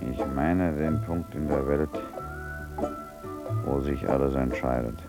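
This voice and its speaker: An actor playing a sardonic, fatalistic, ailing seafarer in a radio drama, with a bitter, world-weary way of talking. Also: male voice, extremely low pitch, steady tone, slow, over loud music, exaggerated voice. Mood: reflective, weary. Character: sardonic, fatalistic leprosy-stricken seafaring outcast man. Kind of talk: German radio drama dialogue.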